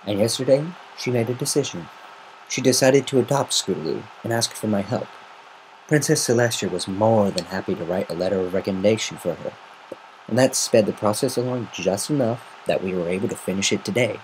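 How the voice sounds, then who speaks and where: A man's voice: lowish voice, brisk, a little hiss or hum, low-quality recording. A man narrating a story in a semi-dramatic amateur reading. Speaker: amateur fan fiction reader.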